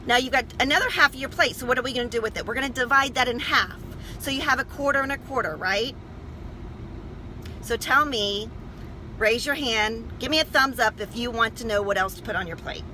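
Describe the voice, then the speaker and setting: Health coach lady chatting to a livestream, emphatic, really bright sharp voice, bit of background noise. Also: fairly high pitch, a little fast, poor audio. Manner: emphatic, coaching, checks in with "okay?" and "right?". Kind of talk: informal livestream talk to viewers.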